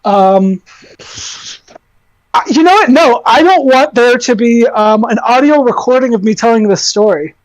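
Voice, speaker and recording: A woman podcast guest in casual chat, with a loud voice, hesitant and full of fillers. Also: fairly high pitch, animated, unhurried, no room sound, rough recording.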